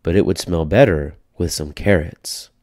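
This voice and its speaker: A man's voice: sits low, normal speed, darkish tone, no room sound, clean studio sound. A man voicing a hungry young man in a storybook, a clever trickster with an upbeat, persuasive manner. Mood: coaxing.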